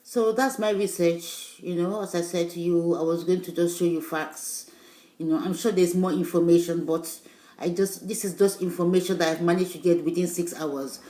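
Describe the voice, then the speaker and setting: A woman whose first language is not English talks straight to her viewers in a loose, rambling vlog style, pressing her points with insistent emphasis. Her voice sits fairly low, and the room around her rings with echo. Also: steady tone, moderate pace, mellow. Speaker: vlogger. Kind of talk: casual video talk to viewers.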